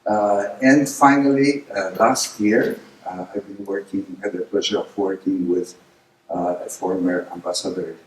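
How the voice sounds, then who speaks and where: A middle-aged man whose first language is Polish, giving a lecture presentation, hesitant with many filler pauses but rising with emphasis on key points. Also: low-pitched, fairly even intonation. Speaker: guest speaker. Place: lecture room. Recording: echoey hall, distant mic, faint noise.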